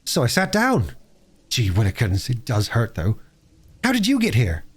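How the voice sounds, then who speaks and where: A man's voice: mid-range voice, wildly swooping pitch, normal speed, husky. A man voicing an injured, plucky and indignant young schoolboy with slangy speech in a storybook reading.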